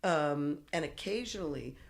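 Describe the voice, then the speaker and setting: A woman's voice: deep voice, moderate pace, vocal fry. A woman guest on a podcast, telling stories in casual chat with lots of swearing and laughter. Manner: profane, laughing, animated storyteller.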